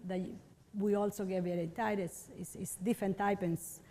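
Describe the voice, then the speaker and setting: A woman sculptor tells stories casually in a halting, word-searching way. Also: mid-range voice, steady tone, dark tone, big-room sound. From Argentina. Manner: rambling, halting, searching for words. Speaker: stone sculptor. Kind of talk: casual interview, storytelling.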